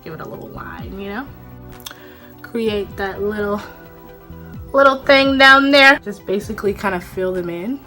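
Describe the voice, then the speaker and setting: A woman's voice: mid-range voice, unhurried, pauses often, pushed and bright, soft background music. A young woman vlogger chatting casually through a makeup tutorial, a bit congested from a cold and rambling with fillers, filmed close on a phone in a small room. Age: young adult. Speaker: beauty vlogger. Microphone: phone camera, close up.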